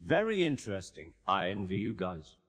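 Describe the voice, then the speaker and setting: Man doing a sarcastic loudmouth cartoon guy, swingy pitch, lots of reverb and music behind. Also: big pitch swings, normal speed. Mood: wry. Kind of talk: animated comedy dialogue.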